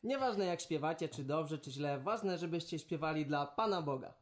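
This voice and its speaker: Lower-pitched young woman co-hosting for children. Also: lively intonation, normal speed. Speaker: children's show co-host. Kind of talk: presenting to children. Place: living room.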